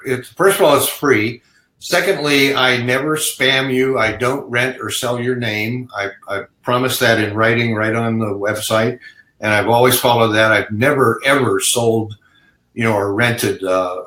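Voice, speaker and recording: Male webinar guest, earnest and reassuring, tense bright tone, roomy echoey mic. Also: medium pitch. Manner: earnest, reassuring, many "you know" and restarts. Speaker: guest, online seller resource owner. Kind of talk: casual webinar talk. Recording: echoey room sound.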